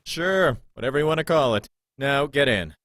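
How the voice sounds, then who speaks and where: A man's voice: mid-range voice, lively intonation, moderate pace, a bit bright, lightly acted. A man playing an irritable, foul-mouthed security guard in a visual novel, sneering and impatient. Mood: curt.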